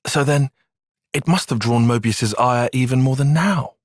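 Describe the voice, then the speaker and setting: A man playing a cerebral, earnest young soldier and strategist in a video game, speaking in precise, formal, analytical language and quick to exasperation. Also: medium pitch, dry recording, clean studio sound, lightly acted. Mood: measured, analytical. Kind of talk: video game cutscene voice acting.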